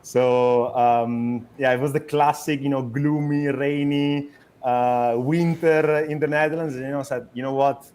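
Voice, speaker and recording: A man's voice: medium pitch, flat delivery, unhurried, a little mellow, clear, resonant tone. A young adult man from Italy with an Italian accent, a startup co-founder talking in an interview, hesitant with many restarts and fillers. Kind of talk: conversational interview.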